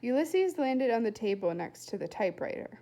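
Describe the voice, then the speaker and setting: Higher-voiced woman reading a story aloud. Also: sing-song, moderate pace, mellow. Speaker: storybook reader. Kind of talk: expressive read-aloud narration of a children's novel.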